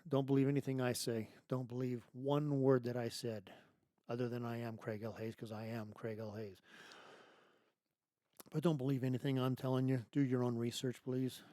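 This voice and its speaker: A man hosting his own show, delivering an emphatic political monologue full of rhetorical questions and restarts, his voice going hoarse. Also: medium pitch, level tone, normal speed, mellow, no echo, clean studio sound. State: losing his voice. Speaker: podcast host.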